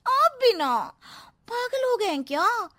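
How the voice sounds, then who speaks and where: A woman voicing a cheerful, giggly young daughter-in-law in a cartoon who squeals when excited. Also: very high-pitched, takes it slowly, pitched above the performer's own voice, heavily acted. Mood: teasing.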